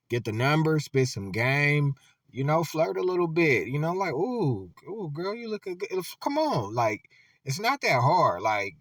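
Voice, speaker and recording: A man giving casual, slangy commentary on dating, animated and blunt, sometimes dropping into a deep, resonant voice. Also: dry recording, distant mic. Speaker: commentator reacting to a clip.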